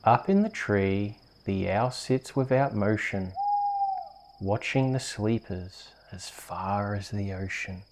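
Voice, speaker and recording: An Australian man hosting and reading bedtime stories in a soft, soothing, unhurried ASMR storytelling manner. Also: on the low side, lively intonation, a little hiss or hum. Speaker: host reading bedtime stories on a video channel.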